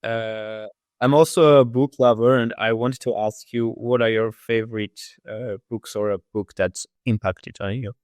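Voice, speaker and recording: A man's voice: fairly low pitch, steady tone, measured pace, a little mellow, dry recording, studio quality. A man hosting a podcast interview, asking questions with frequent hesitations. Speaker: podcast host.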